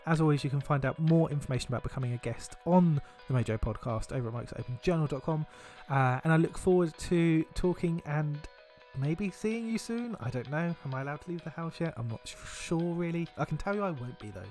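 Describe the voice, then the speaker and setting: A man's voice: on the high side, expressive, fast, a little mellow, soft background music. A man presenting a podcast introduction in a chatty, informal monologue. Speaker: podcast host.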